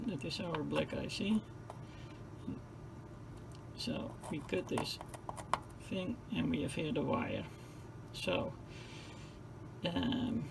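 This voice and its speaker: Very creaky-voiced man explaining electronics. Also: a little high, background noise. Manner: halting, searching for words mid-sentence. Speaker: presenter of a radio repair tutorial. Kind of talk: informal hands-on technical explanation.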